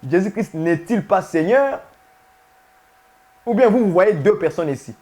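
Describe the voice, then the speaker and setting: A man's voice: sits high, expressive, a little slow, a little mellow. A man preaching a sermon, emphatic and repetitive, often putting questions to his listeners. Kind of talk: sermon teaching to viewers.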